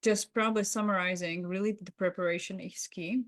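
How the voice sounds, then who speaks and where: A woman's voice: medium pitch, steady tone, fairly quick, dry recording. A woman co-hosting a podcast in unscripted reflective discussion, agreeable and affirming as she adds her thoughts. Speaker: podcast co-host.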